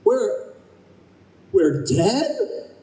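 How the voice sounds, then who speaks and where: A stubborn, anxious middle-aged husband as a man acts him in an old-time radio play. He grumbles earnestly and emphatically, unhurried and lively in pitch, heard at a distance in a roomy, faintly noisy space. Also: full character voice. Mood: alarmed. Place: live stage at a convention.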